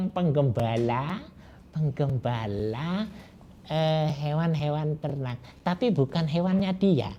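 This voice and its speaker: A man telling a folk tale to children as a grandfather, slowly and with many pauses and fillers. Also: fairly high pitch, dull audio. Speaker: storyteller as a grandfather. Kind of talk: oral storytelling to children.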